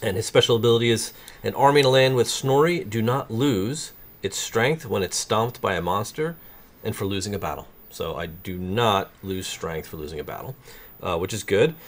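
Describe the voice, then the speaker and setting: Man, 40s, easygoing game show co-host, light humor, lowish pitch. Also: normal speed. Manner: relaxed, jokey, guiding the table. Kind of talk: casual board game chat. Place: home studio set with brick wall, indoors.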